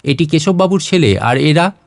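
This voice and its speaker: Male narrator as genteel older gentleman, affable and anglicised, storybook reading, fairly high voice, dry sound. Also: animated, moderate pace, creaky, no echo. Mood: hearty, welcoming.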